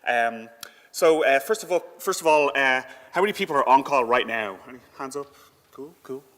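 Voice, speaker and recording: A man's voice: medium pitch, animated, moderate pace, fairly bright tone. Hesitant male conference speaker in echoey hall. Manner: hesitant, conversational, frequent filler words. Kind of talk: informal conference presentation. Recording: echoey hall with long reverb.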